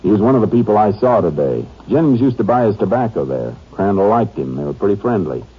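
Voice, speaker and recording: A shrewd texas ranger in old radio drama, low husky american voice, terse, muffled recording. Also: male voice, big pitch swings, fast talker. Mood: businesslike. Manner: terse, matter-of-fact. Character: shrewd, laconic Texas Ranger investigating a case. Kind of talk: 1950s radio drama acting and narration.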